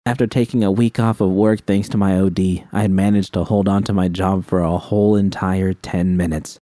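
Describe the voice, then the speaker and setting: A man reading a first-person fan story aloud in a quiet, subdued manner. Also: sits low, little pitch movement, moderate pace, no room sound, studio quality. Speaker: audiobook reader.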